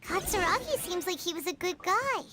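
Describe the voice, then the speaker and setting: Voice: very high-pitched, expressive, moderate pace, background noise. A performer voicing a tiny, chatty female fairy companion in a game. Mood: chirpy. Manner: bubbly, chatty.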